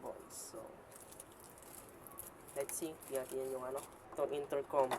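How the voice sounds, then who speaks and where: Filipino man, halting, in a noisy recording. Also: mid-range voice, little pitch movement. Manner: halting, mixes Tagalog with English phrases. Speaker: vlogger and product reviewer. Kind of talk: casual unboxing and product demonstration. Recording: clearly noisy amateur vlog recording.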